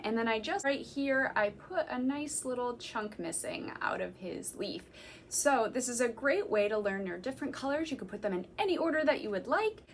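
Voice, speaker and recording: Animated woman reading to children. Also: a little high, a little hiss or hum. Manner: gentle, slow and sing-song storytelling, counting along. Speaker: children's librarian. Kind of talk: reading a picture book aloud to children. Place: library room.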